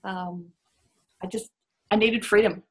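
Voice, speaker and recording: A middle-aged woman tells her own story as a podcast guest. She speaks in a reflective, candid way with a wry edge, at a middle pitch. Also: fairly even intonation, takes it slowly, breathy edge. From New Zealand and Australia. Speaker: interview guest.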